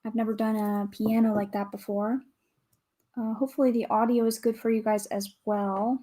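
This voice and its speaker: A woman with a calm, soothing voice, chatting casually with viewers as a livestream host, greeting and thanking them as she goes. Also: a little high, normal speed. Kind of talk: casual livestream chat while building.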